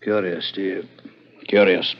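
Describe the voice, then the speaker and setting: An actor playing a pensive, curious colleague of an investigator, musing and questioning in an old-time radio drama. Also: male voice, low-pitched, steady tone, takes it slowly. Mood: musing, intrigued. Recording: muffled vintage radio recording.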